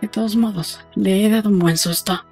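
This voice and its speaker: A woman playing a brisk, practical young woman in an audiobook drama, talking in a matter-of-fact, conversational way. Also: medium pitch, steady tone, a little mellow, husky, faint music behind. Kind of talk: audiobook dialogue acting.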